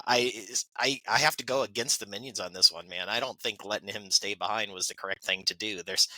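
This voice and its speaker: Casual male podcast host, very bright tone, dry close sound, rambly with fillers. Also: medium pitch, no room sound, low-quality recording. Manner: rambling, laughing, many fillers. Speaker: podcast host reading poll results.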